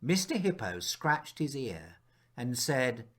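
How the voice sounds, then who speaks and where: A man reads a children's story aloud at a slow, unhurried pace. His pitch moves up and down in a lively way, and he uses dramatic pauses and drops into hushed moments as a storyteller. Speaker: author reading his picture book aloud.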